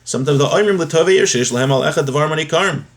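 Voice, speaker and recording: A man's voice: a little high, quick pace. A man giving a religious lesson, reading from a text and explaining it, repeating and rephrasing his points as he goes. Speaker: religious teacher giving a daily class.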